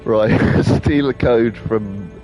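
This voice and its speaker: A man's voice: lowish voice, very slow, warm tone, poor audio. Sweary man mocking a game. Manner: sweary, jokey, mocking, laughs often. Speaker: gaming commentator. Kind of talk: casual gameplay commentary. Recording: voice over game audio with faint music.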